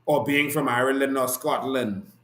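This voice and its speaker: Bahamian dude ranting passionately, average pitch, roomy echo. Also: male voice, steady tone, moderate pace, a bit bright. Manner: passionate, rhetorical and emphatic, with repeated declarations. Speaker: host and activist. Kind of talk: impassioned political commentary.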